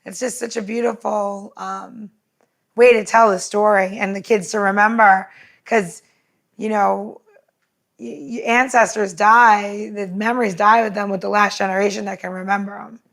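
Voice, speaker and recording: A woman's voice: medium pitch, flat delivery, moderate pace, vocal fry, fairly bright tone. A woman in casual podcast chat, rambling with lots of filler words.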